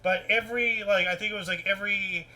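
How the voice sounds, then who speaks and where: High, very bright-voiced man riffing on news. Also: fast, low-quality recording. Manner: animated, repeats himself, rambling. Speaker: host of a livestream news show. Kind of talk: casual rambling news commentary. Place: indoors at a computer with webcam.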